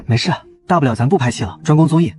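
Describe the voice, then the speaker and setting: A man acting an excitable, loyal young showbiz sidekick in a talkative, protective way for an audio drama. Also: on the high side, rapid-fire, close to an everyday voice. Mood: eager, reassuring. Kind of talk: Chinese audio drama dubbing for a comic drama.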